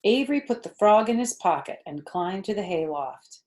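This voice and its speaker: A woman storyteller narrating a children's book in an expressive reading style. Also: mid-range voice, normal speed.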